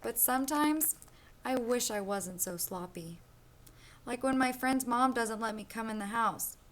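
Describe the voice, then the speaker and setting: A sloppy, cheerful young storybook boy voiced by a woman reading aloud tells his own tale. The voice sits fairly high, with expressive emphasis and childlike boasting. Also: unhurried. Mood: wistful.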